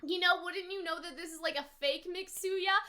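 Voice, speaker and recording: A woman giving casual, unscripted reaction commentary in a chatty, expressive way, often saying "like" and "right?". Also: very high-pitched, normal speed. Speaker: host of an anime reaction and review.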